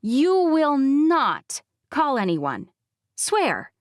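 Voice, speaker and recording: A woman's voice: high-pitched, wildly swooping pitch, drawn-out words, no echo, studio quality, voice pushed up, full character voice. A woman narrator voicing a prickly, wry young woman in audiobook dialogue, blunt and emphatic. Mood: insistent.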